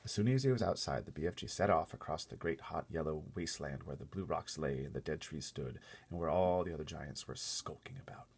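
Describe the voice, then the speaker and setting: A man with a gravelly, husky voice reading a storybook aloud expressively, with casual asides. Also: rumbling low, animated, brisk, darkish tone. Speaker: storybook reader.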